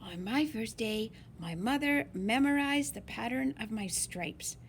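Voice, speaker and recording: A woman reading a picture book aloud in an expressive, deliberate way for young listeners. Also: a little high, a little hiss or hum. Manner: slow, deliberate, animated phrasing for young listeners. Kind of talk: expressive children's read-aloud.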